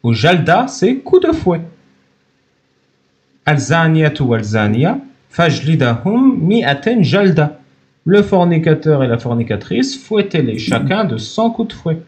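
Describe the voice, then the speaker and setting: A man's voice: fairly high pitch, sing-song, measured pace, a little mellow. A man hosting a heated live-stream debate, blunt and insistent.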